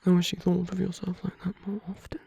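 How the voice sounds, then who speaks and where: A woman playing a flirtatious villain in an intimate ASMR roleplay, teasing and tender, speaking softly in a coaxing half-whisper. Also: fairly low pitch, little pitch movement, quick pace, breathy edge, no echo.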